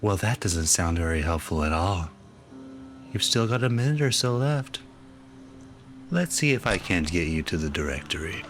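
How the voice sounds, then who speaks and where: Male actor as dry, unimpressed sci-fi tinkerer: lowish voice, matter-of-fact, faint music behind. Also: lively intonation, moderate pace, long pauses, a bit bright. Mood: dry, prodding. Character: brisk, unimpressed male tech tinkerer with metallic hand.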